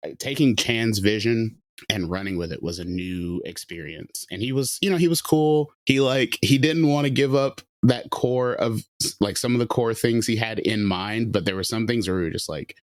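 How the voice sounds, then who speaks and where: A male writer chats casually in a podcast interview, peppering his talk with "like" and "you know". His voice sits low. The sound is dry and close. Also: moderate pace, no room sound.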